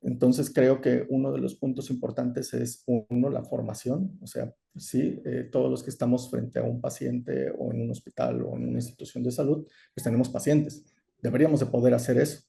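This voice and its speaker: A man giving an online lecture over a video call, explaining in a measured way with frequent "eh" and "¿no?". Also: mid-range voice, flat delivery, warm tone. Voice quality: gravelly. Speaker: course lecturer.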